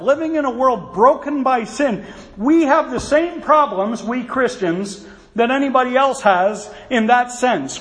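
A man with a notably high voice delivers a sermon, quoting scripture in an earnest tone. His words ring out with a long reverb, as if the mic picks him up in a large hall. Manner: earnest, quotes scripture, asides to kids. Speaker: preacher.

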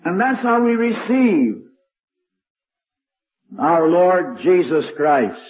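Tinny old recording of an older preacher. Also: male voice, sits high, very animated, takes it slowly. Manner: strong carrying voice, storytelling. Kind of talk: old-time sermon.

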